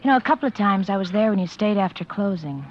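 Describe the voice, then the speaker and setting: A woman's voice: medium pitch, animated, fast talker, close to an everyday voice. Actress as devoted American woman, noisy vintage radio. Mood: earnest. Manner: coaxing, earnest. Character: devoted, persistent, teasing girlfriend of a piano player. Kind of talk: 1940s radio acting. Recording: muffled, noisy 1940s radio recording.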